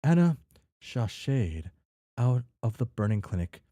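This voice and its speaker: A man's voice: medium pitch, big pitch swings, unhurried, mellow, dry recording. A man casually reading a story aloud with off-the-cuff commentary, tripping over and repeating words and swearing freely. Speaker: reader reacting to a fan fiction.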